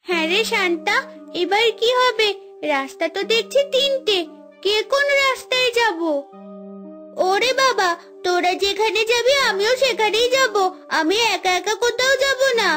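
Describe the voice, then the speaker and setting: A woman's voice: very high voice, moderate pace, bright, forceful tone, faint music behind. A woman voicing young girls on a forest adventure in a cartoon fairy tale, bickering and dramatic. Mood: nervous.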